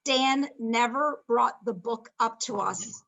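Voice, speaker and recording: A woman's voice: a little high, slow pace, gravelly, a bit bright, muffled recording. A woman on a video call meeting, enthusiastic and stumbling over restarts. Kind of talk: casual meeting chat. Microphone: computer mic on a video call.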